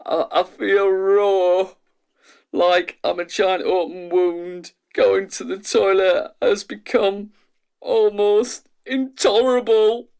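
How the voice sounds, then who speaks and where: Guy acting an overwhelmed anxious test patient in a radio play, slow halting intense delivery. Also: sits high, level tone, creaky, darkish tone, clean tone, full character voice. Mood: distressed. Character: anxious male test subject, tormented and overwhelmed.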